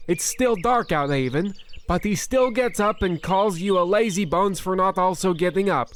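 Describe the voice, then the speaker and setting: A man's voice: sits high, moderate pace, slight background noise, lightly acted. A man voicing a nervous, rambling male expedition cook who insists on repeating his warnings in a comedic radio play. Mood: insistent.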